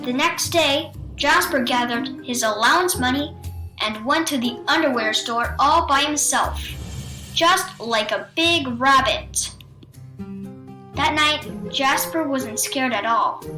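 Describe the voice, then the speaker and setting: Slow high-voiced woman telling spooky stories. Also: over music. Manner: theatrical, suspenseful, with deliberate pauses. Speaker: children's storybook reader. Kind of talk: dramatic read-aloud narration of a spooky picture book.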